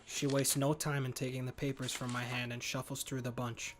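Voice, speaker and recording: A man's voice: mid-range voice, little pitch movement, a little fast, soft background music. A man reading a horror visual novel aloud in first person, earnest and stumbling now and then over words. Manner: earnest, muttering inner monologue with slips. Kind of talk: first-person story narration.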